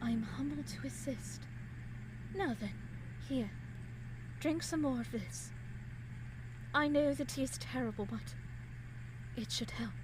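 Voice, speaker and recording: A woman plays a genteel young lady of eighteenth-century Vienna in an audio drama. Her voice is high, her speech formal, caring and easily flustered into apology. The recording has clear background noise. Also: takes it slowly, pauses often. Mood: tender, soothing. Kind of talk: period romance audio drama acting.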